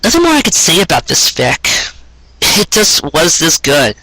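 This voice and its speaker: Man, bright forceful tone, rough-edged husky voice, earnest storytelling delivery, dramatic phrasing. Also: a little high, huge pitch swings, measured pace, no echo, low-quality recording. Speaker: fan fiction reader and show host. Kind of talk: expressive narrated story reading.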